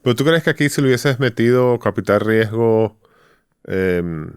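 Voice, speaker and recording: A man's voice: on the low side, lively intonation, normal speed, clear, resonant tone, no echo, clean studio sound. A man hosting a conversational interview, asking direct, probing follow-up questions. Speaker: podcast host, interviewer.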